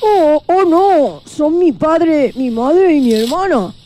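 Childlike, earnest young vegetarian crocodile played by a woman, animated, soft-toned delivery for children's radio drama. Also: high voice, slow, slight background noise. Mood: distressed. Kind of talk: children's radio play acting.

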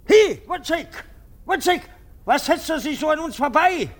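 An actor playing a pompous, sentimental and anxious army captain in a theatrical German radio drama. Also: male voice, very high-pitched, lively intonation, unhurried. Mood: loud mockery. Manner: theatrical, swinging from exclamation to insinuating murmur.

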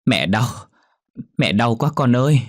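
A man's voice: mid-range voice, expressive, measured pace, pitched above the performer's own voice. A man voicing a frail woman near ninety who begs her daughter with a quavering, sobbing voice. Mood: pleading.

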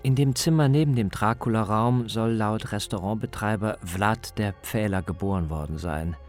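A man's voice: lowish voice, moderate pace, soft background music. A man speaking as a reporter, reading scripted narration for a radio feature.

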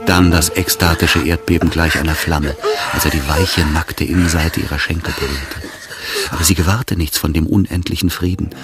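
An actor narrating a literary love story in a radio play, in a measured, intimate manner. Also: male voice, a bass voice. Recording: music behind the voice. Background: loud music.

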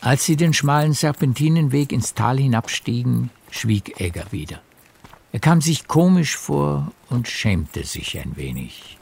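A man narrating a literary radio drama with measured, deliberate phrasing. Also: fairly low pitch, gravelly, darkish tone, husky.

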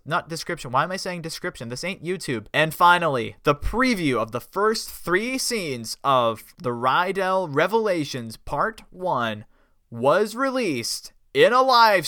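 A young man rattles through fan news in an upbeat, chatty way, catching and correcting his own slips. His voice is bright and a touch high, and it sits close and dry on a home microphone. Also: no echo. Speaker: fan news podcast host. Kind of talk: casual solo news podcast.

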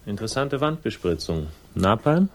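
Sardonic detective type, deadpan, really deep croaky voice, 60s radio drama. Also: male voice, moderate pace. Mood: terse, insistent. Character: dry, laconic, hard-boiled male investigator narrating his case. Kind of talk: 1960s German radio crime drama, first-person narration and dialogue. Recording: 1960s studio radio play with music.

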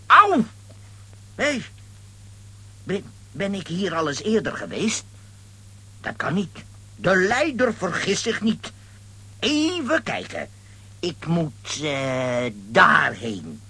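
A vain, blustering hero of a children's radio play. His voice is high for a man, forceful and rough-edged, and he draws out his boasts with exaggerated, swooping excitement. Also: slow pace, pauses often, exaggerated voice. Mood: confused. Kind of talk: children's comic audio drama acting.

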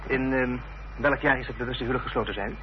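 Actor as genial young country lawyer, noisy recording. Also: male voice, medium pitch, steady tone, fast, lightly acted. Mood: obliging, musing. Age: young adult. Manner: genial, easygoing, gentlemanly. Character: friendly, jovial young country lawyer with squire's air. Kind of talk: radio drama acting. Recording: muffled, noisy old radio play recording.

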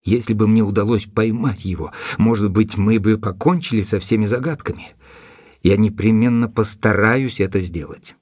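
Low, husky gentleman investigator played by a man. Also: no room sound, clean studio sound, lightly acted. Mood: intrigued, resolute. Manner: measured, deliberate storytelling with rhetorical questions. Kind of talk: dramatized audiobook narration. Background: music behind the voice.

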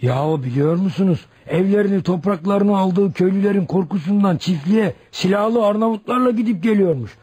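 A man's voice: high voice, lively intonation, a little fast, hardly any pauses, dark tone, slightly rough. An actor in a Turkish radio play as an indignant, gossiping village headman, exclaiming and confiding respectfully. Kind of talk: Turkish radio theatre acting.

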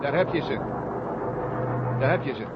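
A man playing an excitable, eager astronaut crewmate in a vintage science fiction radio play. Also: medium pitch, normal speed, over loud music, lightly acted. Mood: urgent, hushed awe. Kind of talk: 1970 Dutch science fiction radio drama acting. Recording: muffled, noisy old radio play recording.

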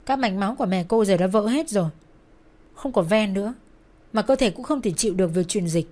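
A woman's voice: mid-range voice, lively intonation, brisk, darkish tone, natural voice. Grave hospital doctor read by a woman, firm, resigned, matter-of-fact, for audio story.

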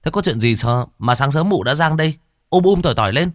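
A man's voice: a little high, expressive, brisk, no echo, studio quality, pitched above the performer's own voice, natural voice. A man voicing a nervous young village son who stammers.

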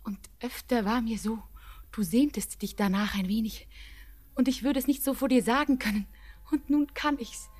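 An actress playing an anxious, devoted young woman in love, pleading in fervent, breathy, poetic radio drama diction. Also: on the high side, normal speed, darkish tone, lightly acted. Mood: devoted, confiding.

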